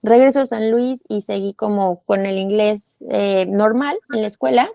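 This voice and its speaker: A woman's voice: mid-range voice, moderate pace, creaky, mellow, no echo, clean studio sound. A young woman with a Mexican accent, a guest talking through her answers in a casual interview, with many fillers and restarts. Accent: Mexican Spanish.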